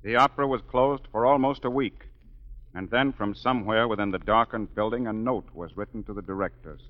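An actor playing an ardent gentleman opera singer in a 1940s radio drama, emphatic and formal. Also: lowish voice, fairly quick, lightly acted. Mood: grave. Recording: dull 1940s radio broadcast, hall reverb.

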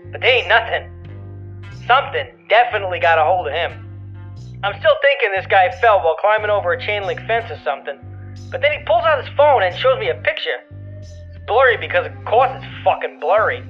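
A muffled, lo-fi phone message with faint music. an excitable man calling a tipline in an audio drama, spinning a yarn with slangy swearing and open disbelief. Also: sits high. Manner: slangy, sweary, incredulous storytelling. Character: excitable, profane barfly caller spinning a monster yarn. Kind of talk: audio drama monologue as voicemail.

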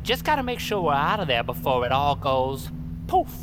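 An actor playing a wisecracking ghost sidekick in an audio drama, with a folksy, slangy, theatrical way of talking. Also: male voice, fairly high pitch, very animated, moderate pace, noisy background. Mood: mysterious, cautioning. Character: wisecracking ghost sidekick, folksy, eerie male street buddy. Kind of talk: audio fiction acting. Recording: loud music under the voice.

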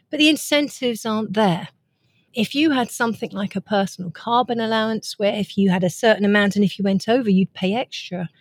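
Woman, mid-pitched voice, earnest, articulate, explanatory interview talk, dry sound. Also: no room sound. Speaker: author and guest.

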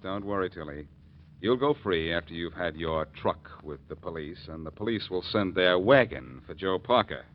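A brisk, confident American detective from a 1940s radio mystery. His voice is fairly low and swings widely as he needles and accuses with punchy emphasis. The old recording is muffled, with light noise. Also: expressive. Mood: brisk, assured.

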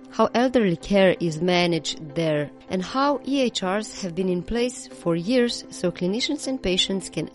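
A woman hosting a podcast. She delivers her lines in a measured, clearly paced way. Soft music plays faintly behind her. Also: lowish voice. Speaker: podcast host. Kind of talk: scripted podcast intro, then interviewing.

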